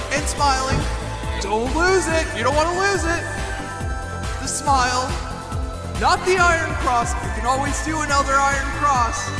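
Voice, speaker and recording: Relentless parody workout leader: commanding short cues, high pitch, roomy echo. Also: female voice. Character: relentlessly upbeat, demanding exercise instructor. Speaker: workout instructor. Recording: echoey, loud music behind.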